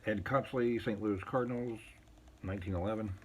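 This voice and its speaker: A man casually showing a collection on an unscripted video, reading items out in short, halting phrases with muttered asides. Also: fairly low pitch, steady tone, a little hiss or hum. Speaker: collector showing vintage baseball cards. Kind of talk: casual unscripted show-and-tell, reading out cards.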